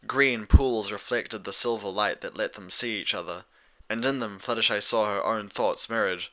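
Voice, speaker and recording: A man's voice: fairly low pitch, moderate pace, bright, forceful tone. A man reading a story aloud as a scripted audiobook narrator.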